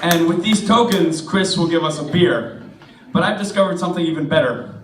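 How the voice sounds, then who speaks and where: Hoarse American man, late twenties, rambling stand-up set, echoey bar room, noisy and distant. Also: on the high side, brisk. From United States. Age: 20s. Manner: rambling, self-mocking, many restarts. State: hoarse from a cold. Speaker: stand-up comedian. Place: comedy club stage in a bar.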